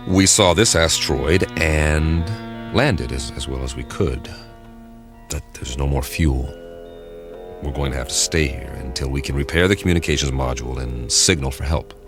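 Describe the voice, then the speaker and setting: A weary spaceship captain talks in a deep voice, earnest and a little halting. He sounds baffled but polite, in classic radio drama style, with faint music behind him.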